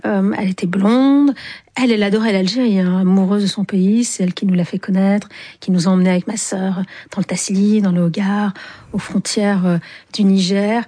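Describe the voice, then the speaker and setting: A woman in her fifties from Algeria speaks as an interview guest, her voice warm and relaxed rather than bright. She talks in a reflective, unhurried way, stopping often on soft hesitations as she gathers her thoughts. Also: mid-range voice. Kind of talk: reflective personal storytelling in an interview.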